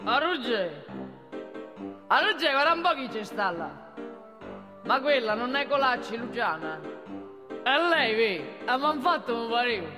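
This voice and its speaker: A woman's voice: a little high, big pitch swings, a little slow, long pauses, in a large hall, over music. A woman playing an older male Roman security guard in a comic stage monologue, colloquial, pleading and exasperated, with a Roman accent. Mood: surprised, coaxing.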